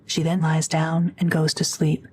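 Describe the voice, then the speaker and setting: A woman's voice: deep voice, completely flat delivery, measured pace, darkish tone, slight background noise. A woman reading scripted narration for an audio drama as its narrator.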